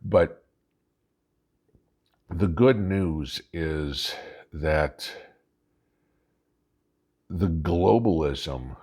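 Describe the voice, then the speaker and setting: Very deep, gravelly American man. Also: a little mellow. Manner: folksy, emphatic, halting, with rhetorical questions. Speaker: host of a preparedness vlog. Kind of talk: casual political commentary monologue.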